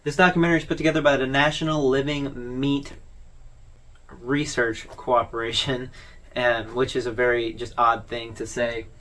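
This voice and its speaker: Male video host, mid-pitched voice, informal commentary, conversational, dryly wry explainer delivery. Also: flat delivery, moderate pace, a bit bright.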